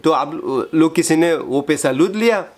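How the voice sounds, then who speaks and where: A man earnestly admonishing someone in a halting, repetitive way on a phone call. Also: on the high side, expressive, background noise. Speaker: host confronting a scammer.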